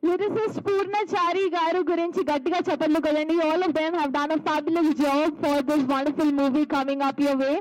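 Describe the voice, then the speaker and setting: A woman's voice: high-pitched, fairly even intonation, a little slow, few pauses, darkish tone, poor audio. A woman with an Indian accent, hosting on stage and effusively calling for applause. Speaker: event host, emcee.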